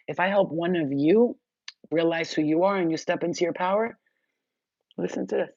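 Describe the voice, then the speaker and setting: A woman's voice: on the low side, a little mellow, husky, dry recording, muffled recording. A woman hosting a spiritual healing livestream, talking bluntly and fervently, swearing freely, and reading out viewers' comments. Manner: addresses viewers directly, reads their comments aloud. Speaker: spiritual healer livestream host. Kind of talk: spontaneous spiritual livestream talk.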